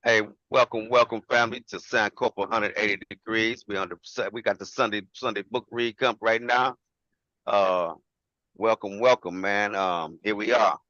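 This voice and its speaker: A man's voice: on the low side, fairly even intonation, moderate pace, sharp tone, no room sound. A man hosting a casual online livestream, talking informally and repetitively, with frequent "you know" and "man" as he goes. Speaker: host of an online show. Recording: dull lo-fi livestream audio.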